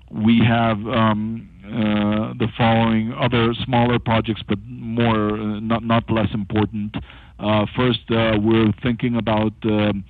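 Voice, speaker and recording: A man presenting as a company executive on a conference call, speaking haltingly with frequent long filled pauses over a telephone. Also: fairly low pitch. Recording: thin, narrow-band telephone conference line.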